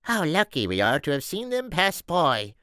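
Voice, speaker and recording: A man voicing a thorny, worrying old farmer's wife in an excitable, scolding falsetto. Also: normal speed, smooth, dry recording, clean studio sound, exaggerated voice. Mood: awed.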